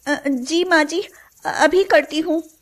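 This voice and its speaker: Meek young cartoon daughter-in-law, played by a woman: extremely high, slow, hesitant, obedient. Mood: timid. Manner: timid, hesitant, deferential. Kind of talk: cartoon moral-story voice acting.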